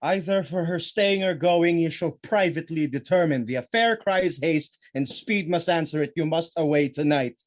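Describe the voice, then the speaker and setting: An authoritative duke acted by a man in a Shakespearean audio drama. His voice is a little high, and he delivers state business with measured formality and diplomacy. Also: natural voice. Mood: businesslike, commanding.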